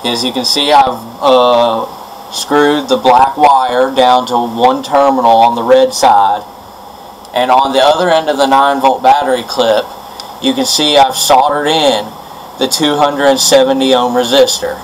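Casting: male DIY presenter, mid-pitched, informal and halting, with faint noise on an amateur camera recording. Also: fairly even intonation. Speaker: presenter of a DIY electronics tutorial. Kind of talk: informal hands-on tutorial. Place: indoors at a wooden workbench. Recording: noisy amateur camera audio.

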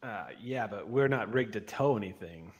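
A man's voice: fairly low pitch, animated, moderate pace, breathy edge, dull audio. A man playing a casual, foul-mouthed crewman on a space freighter in an online roleplay, blunt and informal. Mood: practical. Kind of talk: improvised online tabletop roleplay.

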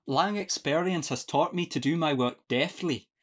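A man's voice: on the high side, moderate pace, close to an everyday voice. A man acting a cheerful, sly young Scottish country lad in broad dialect for a farcical radio play. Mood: cheerful, reassuring. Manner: folksy, earnest, broad dialect. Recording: dull lo-fi home recording.

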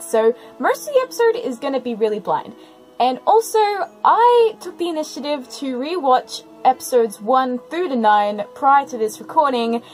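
A woman hosting a reaction video, giving casual commentary with expressive, exclamatory asides. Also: high voice.

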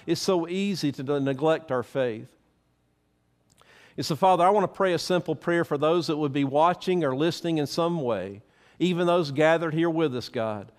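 A middle-aged man preaching from a church stage, fervent and emphatic, wearing a headset mic. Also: a little high. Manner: fervent preaching with rising emphasis, stands and gestures. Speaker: pastor. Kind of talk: sermon and closing prayer.

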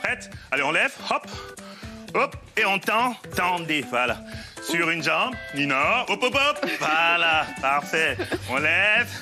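Male TV fitness coach, 30s, lively swings in pitch, encouraging and playful, music behind. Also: takes it slowly. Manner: physically active while talking. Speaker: fitness coach on a TV parenting show. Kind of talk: demonstrating exercises to a mother and young child. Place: living-room style TV studio.

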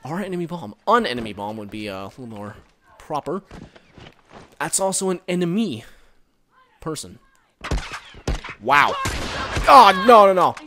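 A man giving casual, rambling commentary while playing a game, recorded on a headset and reacting loudly to the action. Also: fairly high pitch, lively intonation, unhurried, long pauses, a little hiss or hum. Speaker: gaming commentator.

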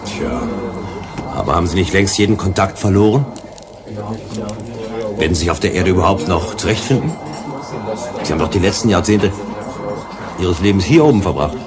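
A man's voice: low voice, lively intonation, moderate pace, pauses often, over music. An actor playing a suave, probing male visitor who teases and flirts in a German science-fiction radio drama. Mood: probing, flirtatious. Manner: teasing, questioning, ironic.

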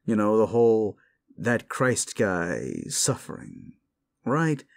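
Male actor as a mocking demon for audio roleplay: low, creaky, sarcastic and gleefully cruel. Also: big pitch swings, slow, heavily acted. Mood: scornful amusement. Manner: sarcastic, taunting, drawling. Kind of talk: intimate audio roleplay addressed to the listener.

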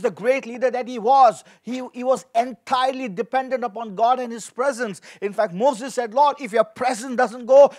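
A man in his forties preaching a sermon as a pastor, reading scripture and repeating key phrases with emphasis into a handheld microphone. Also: very high voice. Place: indoor studio with dark backdrop, at a lectern.

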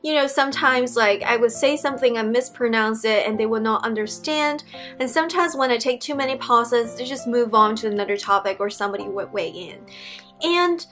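A woman's voice: a little high, fairly quick, fairly bright tone, faint music behind. A young woman from Beijing with a Mandarin Chinese accent, talking casually to camera as an English teacher, explaining in a friendly way with frequent ums and restarts, recorded in a small indoor room. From Beijing, China. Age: young adult. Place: small indoor room with wood-panelled wall.

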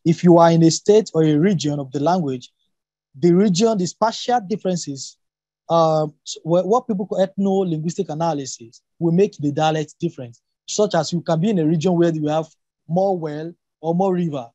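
A man's voice: fairly high pitch, normal speed, dark tone, no echo, muffled recording. A man whose first language is Yoruba, explaining emphatically in a spontaneous academic discussion, often repeating and rephrasing himself and posing rhetorical questions.

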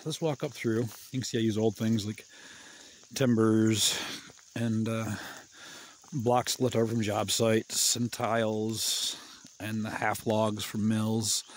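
Rambling, low-voiced man on an outdoor walk. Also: a little hiss or hum. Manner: relaxed, rambling, plain-spoken. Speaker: permaculture farmer. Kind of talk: casual walking garden tour. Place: outdoors on a wooded terraced hillside. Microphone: phone held at arm's length.

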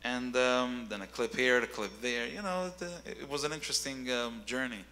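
Young man, singer as guest, bright tone, rambling delivery, handheld mic in a reverberant hall. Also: mid-range voice, moderate pace, smooth. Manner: rambling, repeats words while searching. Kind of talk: casual interview on stage. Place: dark stage with keyboard.

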